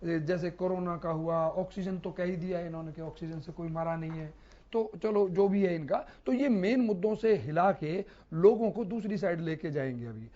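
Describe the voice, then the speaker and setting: A man giving unscripted political commentary as a panel analyst, explaining haltingly with hesitations and repetitions. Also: sits high, mellow. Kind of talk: unscripted television debate commentary. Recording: slightly dull, lo-fi broadcast audio.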